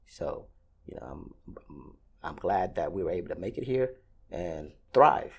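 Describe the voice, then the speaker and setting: Gravelly-voiced man, hesitant and plain. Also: deep voice, expressive, unhurried, darkish tone. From Vietnam. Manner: hesitant, many fillers and restarts. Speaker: airline ramp agent. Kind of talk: casual interview answers.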